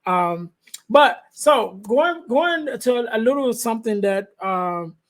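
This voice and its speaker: A man with a light, high voice hosts a podcast conversation. He talks softly and haltingly, restarting phrases and leaning on fillers as he thinks aloud. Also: animated. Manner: halting, many restarts and fillers, soft-spoken. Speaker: podcast host. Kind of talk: conversational interview. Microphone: podcast microphone.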